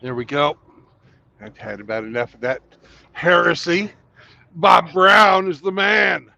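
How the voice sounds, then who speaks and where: A man's voice: high voice, measured pace, gravelly, pushed and bright, a little hiss or hum. A man hosting a livestream, speaking in a mock-stern, theatrical way. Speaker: livestream host. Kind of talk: casual livestream chat.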